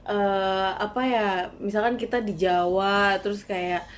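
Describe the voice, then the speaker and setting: Chatty young woman, fast agreeing. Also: mid-range voice, steady tone, slight background noise. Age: young adult. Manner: chatty, quick to agree with many yeses. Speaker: podcast co-host. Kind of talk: casual chat.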